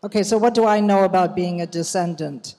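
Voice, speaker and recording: A woman from the United States, a journalist, giving a measured storytelling talk at a commemorative event, with some fillers and restarts. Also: on the low side, mellow. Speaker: journalist and guest speaker. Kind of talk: prepared public talk. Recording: reverberant hall.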